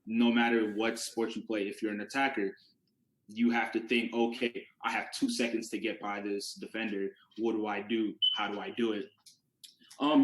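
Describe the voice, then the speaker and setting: Young man presenting in an echoey room. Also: on the low side. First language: Yoruba. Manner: conversational, restarts words, asks rhetorical questions. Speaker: student presenter. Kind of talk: casual classroom presentation.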